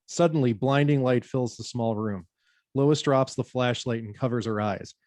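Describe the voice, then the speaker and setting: A man's voice: medium pitch, normal speed, darkish tone, dry recording. Guy reading out the scene directions at a table read, medium voice, dry recording. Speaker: narrator reading stage directions. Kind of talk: scripted narration at a table read.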